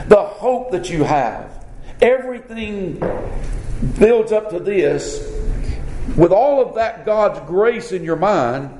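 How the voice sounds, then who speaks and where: Southern US man preaching, high voice. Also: a little mellow, echoey room, slight background noise, rough recording. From born South Alabama, grew up North Florida. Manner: folksy, conversational, many "you know" fillers. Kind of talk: sermon.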